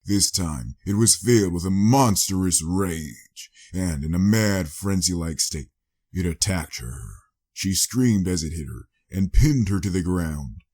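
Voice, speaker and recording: A man's voice: low-pitched, unhurried, a little mellow, husky. A man reading a scripted story as a dramatic audiobook narrator.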